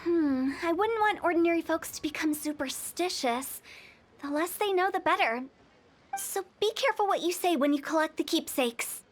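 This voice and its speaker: A cheeky young funeral director. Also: female voice, very high voice, expressive, normal speed, a little hiss or hum. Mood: playful. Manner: teasing, self-assured. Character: mischievous young woman funeral parlor director, playful and confident. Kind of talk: video game voice acting. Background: game background music.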